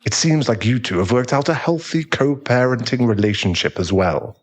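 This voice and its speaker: A man playing a calm, tactful therapist in an audio roleplay, speaking in a measured, formal way. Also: mid-range voice, lightly acted. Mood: tactful, probing.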